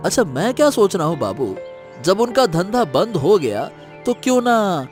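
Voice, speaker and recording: Man acting a high-pitched fawning henchman. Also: sing-song, normal speed, darkish tone, soft background music. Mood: sycophantic. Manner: obsequious. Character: fawning, greedy sidekick of village villain. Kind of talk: Hindi moral-story cartoon voice acting.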